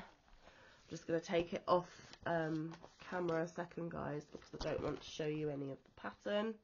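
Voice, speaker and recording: A woman from the UK who is ill and wheezy with a chesty cough, chatting casually off camera about a crochet magazine with frequent pauses and ums. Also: low voice, gravelly, a little mellow, muffled recording. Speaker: crochet vlogger. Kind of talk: casual show-and-tell chat. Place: at home, off camera.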